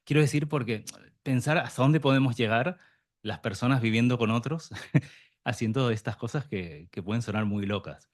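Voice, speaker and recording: Argentine guy from buenos aires, thinking out loud at a panel, lots of pauses and eh's, normal pitch. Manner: hesitant, many fillers and restarts, reflective. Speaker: representative of mediator psychologists. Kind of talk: closing remarks at a round table. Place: meeting room with in-person and online audience.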